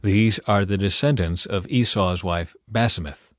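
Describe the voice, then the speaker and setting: A man narrating scripture as an audiobook reader, in a measured, solemn way. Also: fairly low pitch, no room sound, studio quality.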